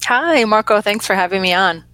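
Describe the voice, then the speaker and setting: A woman's voice: medium pitch, expressive, brisk, pushed and bright, no room sound. A woman in her thirties, an author, answering interview questions from her home library over a video call in an easygoing, friendly way. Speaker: author guest.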